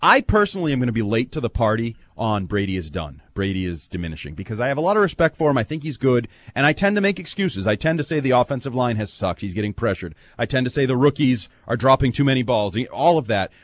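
Fast, lively man co-hosting sports radio. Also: mid-range voice. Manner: opinionated, emphatic, talks in long runs. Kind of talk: sports talk radio chat. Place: radio studio.

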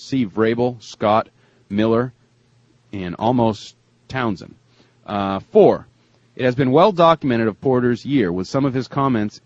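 A man hosting a sports talk show, discussing and reading out emails in a husky voice. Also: mid-range voice, dry recording, lo-fi sound. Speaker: sports radio show host.

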